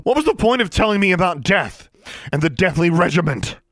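A man voicing a fierce, grieving teenage girl witch in a storybook reading, with a high strained voice. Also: brisk, dry recording, clean studio sound, lightly acted. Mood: furious. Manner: high strained voice, shouting to whispering.

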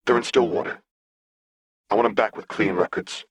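Guy reading a cocky young rebel dude, taunting, slangy, lowish voice, audiobook. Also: moderate pace, husky, dry recording. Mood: smug. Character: cocky, defiant young street rebel man, smirking.

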